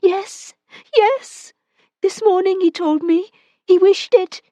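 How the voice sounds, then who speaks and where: A woman voicing a frantic young woman who pleads in a high, trembling voice. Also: slow pace, mellow, dry recording. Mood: pleading.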